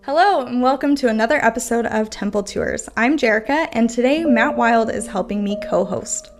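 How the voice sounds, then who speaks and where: A woman's voice: on the high side, normal speed, faint music behind. A woman hosting a podcast, reading a polished script. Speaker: podcast host.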